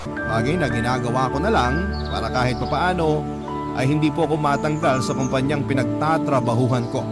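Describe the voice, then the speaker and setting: A man narrating his own love story in an earnest, polite, confessional way. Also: medium pitch. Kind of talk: scripted dramatic narration. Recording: music bed under narration.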